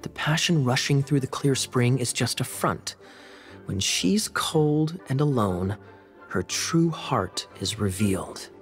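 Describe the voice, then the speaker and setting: A man's voice: medium pitch, animated, slow. A man playing a suave, teasing young knight in a video game, with a sly, theatrical manner. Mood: musing. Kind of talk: animated video game voice acting. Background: faint game music.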